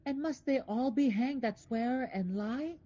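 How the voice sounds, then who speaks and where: A woman's voice: on the high side, measured pace, warm tone, dull audio. A woman playing a brave, cheeky little boy with an innocent manner in Shakespearean radio drama.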